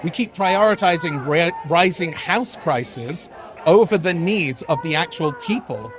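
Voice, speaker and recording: A man's voice: on the high side, lively intonation, unhurried. A man explaining his political views earnestly and casually out on a street. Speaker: protest interviewee. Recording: outdoor street with crowd noise.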